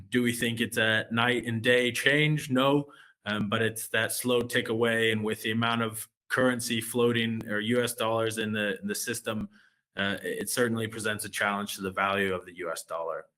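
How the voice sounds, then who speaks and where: A man's voice: lowish voice, fairly even intonation, moderate pace, bright, forceful tone. An American man, a relationship manager, presenting a finance webinar in a loose way, with many fillers and restarts. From United States.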